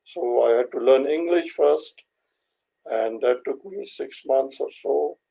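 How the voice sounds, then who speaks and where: An older man from India with an Indian accent, a native Hindi speaker, recalling his life in a halting, measured way as an interview guest. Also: medium pitch, vocal fry, mellow, husky, poor audio. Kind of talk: reflective personal recollection.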